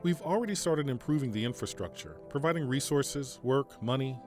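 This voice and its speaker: A man playing a stern, principled army general in an audio drama, speaking formally and deliberately. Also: medium pitch, animated, darkish tone, faint music behind, lightly acted. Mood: grave, resolute. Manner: formal, measured, deliberate speech. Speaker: military general giving a radio interview.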